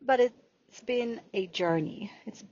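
A woman in her forties from Jamaica with a slight Jamaican accent, hosting a podcast in an unhurried, drawn-out monologue. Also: on the high side, a little mellow. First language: Jamaican Patois. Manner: slow, unhurried, drawing out words in lists. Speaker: podcast host and spiritual healer. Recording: dull lo-fi recording.

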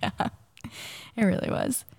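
A woman's voice: unhurried, darkish tone, no echo. A woman in her thirties co-hosting a casual podcast, still scratchy from a cold, chatty and laughing easily between her ums. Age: 30s. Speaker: podcast co-host.

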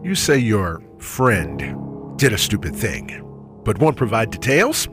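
A man's voice: on the low side, big pitch swings, slow pace. A man on a morning radio crew, bantering and reading a review reply in a dramatic style. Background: music.

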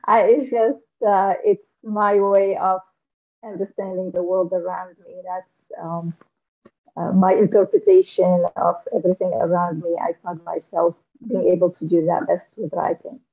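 Syria-born woman on a podcast, kinda low voice, thoughtful with lots of ums, muffled lo-fi sound. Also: level tone, moderate pace. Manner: soft-spoken and thoughtful, with many fillers and restarts. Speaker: guest author. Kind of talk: casual interview chat. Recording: lo-fi remote interview audio.

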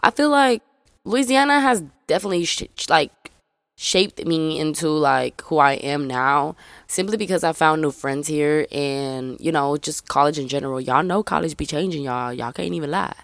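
Young southern woman rambling on her podcast, kinda low voice, super dry close sound. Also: expressive, normal speed, no echo. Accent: faded Memphis Southern US. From Memphis, Tennessee, USA. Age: young adult. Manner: chatty, rambling, addresses listeners as y'all. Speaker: podcast host. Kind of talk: casual solo podcast intro.

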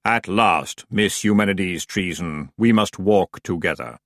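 Grim reaper, acted by a male narrator: solemn, courteous, measured and formal, dry storybook sound. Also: low voice, a bit bright, no room sound. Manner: slow, solemn, formal pronouncements.

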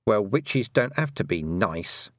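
A shrewd witch woman from a fantasy audiobook, played by a male narrator. She is brisk and dry-witted, firing off knowing questions and remarks with a lively lilt. The recording is close and dry. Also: on the low side, no echo. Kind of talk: audiobook character dialogue.

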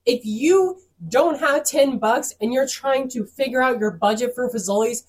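A woman's voice: high-pitched, normal speed, darkish tone, breathy edge. Stuffed-up woman ranting on a podcast. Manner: profane, ranting storyteller. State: stuffed-up, voice going hoarse. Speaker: podcast co-host. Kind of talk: casual podcast chat.

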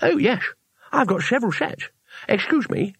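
A man's voice: high voice, very animated, moderate pace, dry recording, pitched above the performer's own voice, full character voice. A man voicing a naive, chatty male tourist in a storybook, polite and earnest with a hesitant way of explaining. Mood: bright, helpful.

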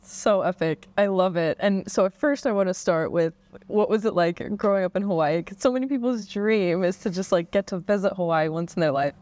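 A woman's voice: medium pitch, a little fast, few pauses, darkish tone, no echo. A woman hosting a podcast interview in an upbeat, effusive way. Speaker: podcast host.

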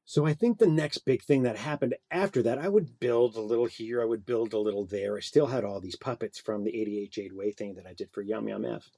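A man's voice: fairly low pitch, brisk, vocal fry, hardly any pauses, warm tone, no echo. A man speaking as a guest puppeteer, telling anecdotes casually in an interview and acting out bits of dialogue.

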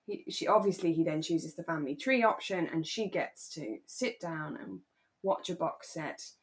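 A woman co-hosting a relaxed, chatty podcast, telling stories in a playful, jokey and animated way. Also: low voice, normal speed. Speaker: podcast co-host. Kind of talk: relaxed casual chat about a radio drama.

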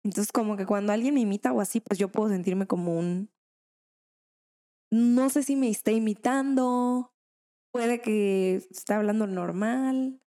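Young female co-host, soft dark tone, casual. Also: medium pitch, a little slow. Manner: casual, slangy, hesitant. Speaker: podcast co-host. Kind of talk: casual chat.

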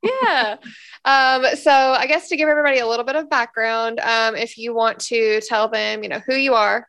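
A young woman hosts her podcast in easy, friendly chat, full of "um"s and little laughs. Her voice sits a bit high and has a gravelly, hoarse edge. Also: fairly even intonation, moderate pace, a bit bright. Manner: chatty, upbeat, lots of fillers and laughs. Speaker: podcast host. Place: hotel room, sitting on a bed.

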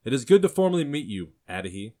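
A man voicing a stern, impatient older gentleman who is scarred and gray-bearded. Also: mid-range voice, very animated, quick pace, pitched above the performer's own voice. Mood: commanding. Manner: commanding, impatient, cold.

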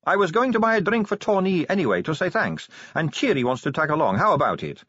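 A man's voice: a little high, very animated, quick pace, fairly bright tone, husky, dry recording, dull audio, pitched above the performer's own voice, heavily acted. A man voicing a teasing, self-assured female vampire police officer in an audiobook story. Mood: coaxing. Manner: breezy, needling, coaxing.

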